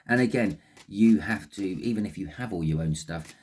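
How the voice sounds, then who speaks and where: A man with a very low, dark voice reads tarot cards in a loose, rambling way. His throat sounds phlegmy and husky. He repeats words and restarts his sentences often. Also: moderate pace. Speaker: tarot reader. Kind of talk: casual tarot reading monologue.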